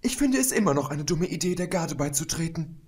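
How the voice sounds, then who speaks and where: A man's voice: a little high, big pitch swings, brisk, a little mellow, pitched above the performer's own voice. A man doing a refined, worried unicorn lady. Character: refined, dramatic young unicorn mare.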